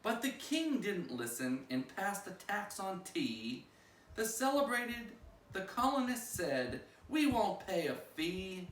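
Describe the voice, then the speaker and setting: A man reading a rhyming children's picture book aloud like a school principal at story time, with expressive, singsong phrasing. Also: high voice, takes it slowly, a little hiss or hum. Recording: roomy echo, distant microphone.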